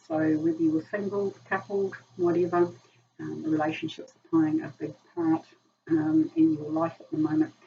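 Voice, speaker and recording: A woman in her sixties, croaky from a virus, giving a rambling casual tarot reading to camera with many ums. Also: fairly low pitch, warm tone. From New Zealand. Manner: rambling, many ums and "sort of type thing". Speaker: tarot reader. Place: small room, indoors. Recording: dull distant camera mic with faint hiss.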